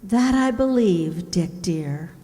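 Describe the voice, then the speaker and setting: Actress for devoted sweetheart daughter, young, slow and swooping delivery, stagey storybook radio drama. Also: female voice, on the low side, lively intonation, dark tone. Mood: tender. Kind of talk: stage-like radio play acting. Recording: echoey hall.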